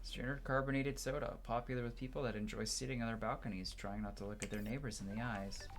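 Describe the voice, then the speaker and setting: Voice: medium pitch, steady tone, fast talker, slight background noise. A person giving casual gameplay commentary and reading game text aloud. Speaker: let's player. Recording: game music behind the voice. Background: game music.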